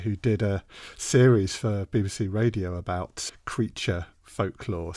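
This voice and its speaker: A man with a fairly low voice hosts a podcast interview. He phrases things in a measured, slightly hesitant, conversational way, and the sound is close and dry. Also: a little mellow, no room sound.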